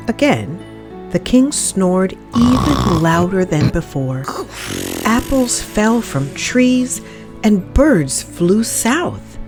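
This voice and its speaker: A woman reading a children's story aloud in expressive, dramatic narration with deliberate phrasing. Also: lowish voice.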